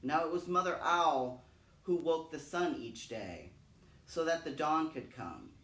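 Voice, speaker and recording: A man reading a children's story aloud in an expressive, dramatic way, repeating phrases for emphasis. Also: fairly high pitch, measured pace. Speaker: storytime reader. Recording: roomy, echoing, distant microphone.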